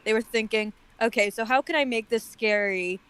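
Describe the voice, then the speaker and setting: Bright, dryly sarcastic woman chatting casually. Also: on the high side, moderate pace, clean tone, no room sound. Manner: dry, sarcastic. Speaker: guest commentator on a story reading. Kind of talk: casual chat.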